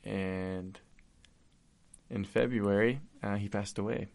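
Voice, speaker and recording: A young man from Argentina, a podcast guest talking in an earnest, heartfelt way with halting restarts. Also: fairly low pitch, steady tone, vocal fry, a little mellow. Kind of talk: casual conversation.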